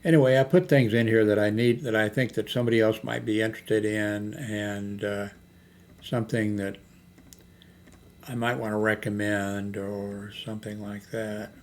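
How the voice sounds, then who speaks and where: An older man hosting a casual unscripted vlog, thinking aloud in a hesitant, halting way as he browses products. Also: fairly low pitch, flat delivery. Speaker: YouTube host. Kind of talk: rambling, unscripted screen-browsing product chat. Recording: noticeable background noise, homemade recording.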